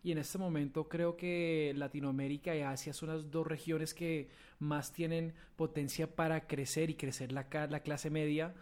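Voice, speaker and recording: A man's voice: on the high side, flat delivery, normal speed, few pauses, darkish tone. Upbeat male podcast host. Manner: upbeat, scripted opening. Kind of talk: podcast interview.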